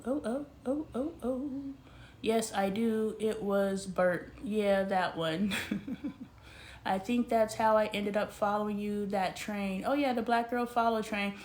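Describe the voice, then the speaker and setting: A woman in her forties hosting a casual livestream, talking straight to her viewers in an animated, slangy way. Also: mid-range voice. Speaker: livestream host.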